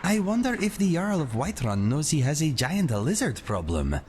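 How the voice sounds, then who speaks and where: A man's voice: a little high, sing-song, normal speed, a little mellow, slight background noise. A man playing a bold, wry catlike warrior companion in a fantasy game, speaking in formal, eloquent phrases. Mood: musing. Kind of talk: fantasy game character acting.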